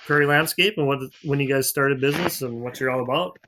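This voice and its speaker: Bright-voiced easygoing co-host man. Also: mid-range voice, quick pace, rough recording. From North Dakota. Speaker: podcast co-host. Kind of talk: casual chat.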